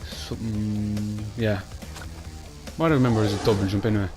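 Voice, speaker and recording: A young Irish man chatting quickly and rambling while he plays through a platform game. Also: fairly low pitch, steady tone. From Ireland. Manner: fast, rambling, chatty with Irish phrasing like "class". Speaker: gaming channel host. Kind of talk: casual gameplay commentary. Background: game music.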